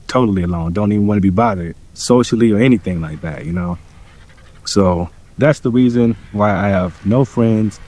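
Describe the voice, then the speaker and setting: A man speaking slowly and quietly in a subdued, reflective confessional. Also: lowish voice. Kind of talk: personal confessional video.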